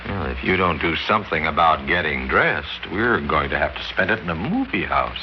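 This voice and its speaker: A young actor playing a polite, easygoing young escort, agreeable and a little hesitant, in an old radio drama. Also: male voice, very deep voice, big pitch swings, moderate pace, full character voice. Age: young adult. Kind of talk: 1940s-50s radio drama acting. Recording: muffled vintage radio recording with hiss.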